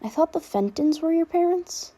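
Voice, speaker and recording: Woman as playful kid sister, soft girlish voice, cheeky, mellow, audio fiction. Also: very high-pitched, animated, moderate pace, pitched above the performer's own voice. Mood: playful, confused. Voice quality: soft, feminine.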